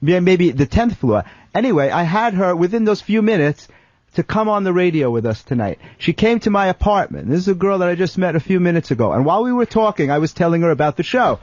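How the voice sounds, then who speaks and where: A man with a somewhat high-pitched voice talks conversationally on the radio. He explains things earnestly, often circling back over his words. The sound is dry and a bit dull. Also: sing-song, fast talker, a little mellow, no room sound. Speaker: talk show co-host or guest. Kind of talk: conversational storytelling on talk radio. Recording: dry, slightly dull lo-fi audio.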